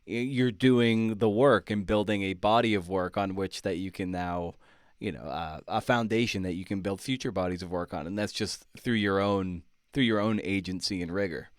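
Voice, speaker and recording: A man's voice: low-pitched, moderate pace, clean tone, dry recording, studio quality. A man hosting an interview podcast, talking in a conversational, thoughtful and encouraging way. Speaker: podcast host.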